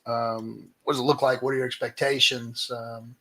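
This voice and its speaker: A man's voice: medium pitch, level tone, normal speed, bright, forceful tone. A man hosting a casual live stream interview, informally rephrasing his questions as he goes. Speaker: host of an online hangout stream.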